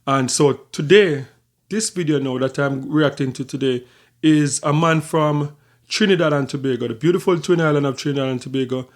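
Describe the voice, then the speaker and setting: A man hosting a reaction video, explaining in a measured, conversational way. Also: a little high. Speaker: reaction channel host.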